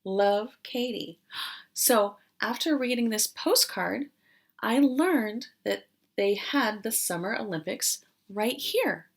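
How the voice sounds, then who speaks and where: Slow, lively woman teacher reading aloud. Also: a little high. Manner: questioning, explanatory, with pauses for answers. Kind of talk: interactive read-aloud lesson for young children.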